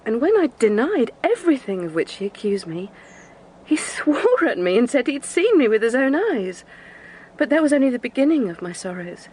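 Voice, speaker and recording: An actress playing a distressed, haunted young gentlewoman in an audio drama, speaking in a trembling, halting, earnest way. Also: fairly high pitch, very animated, husky, low-quality recording. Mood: troubled recounting.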